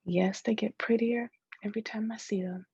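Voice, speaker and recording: A woman reading novel dialogue aloud expressively, often hushed and breathy, switching between speakers. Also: medium pitch, fairly even intonation, normal speed, dry recording, highs cut off. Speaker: literary reader.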